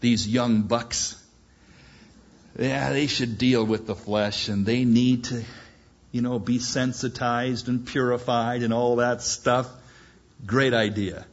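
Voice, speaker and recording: A man's voice: medium pitch, steady tone, slow pace, muffled recording. A preacher doing a very old man pleading. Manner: pleading, wheedling.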